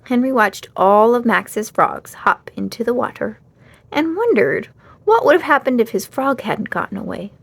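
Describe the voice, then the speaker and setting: A woman narrating a children's storybook aloud in an expressive read-aloud style. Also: on the high side, moderate pace, creaky, husky.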